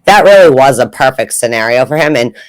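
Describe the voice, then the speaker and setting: A man's voice: medium pitch, lively intonation, a little fast, no echo. A man co-hosting a casual hockey podcast, chatty and rambling, full of "like". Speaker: podcast co-host. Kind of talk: casual chat.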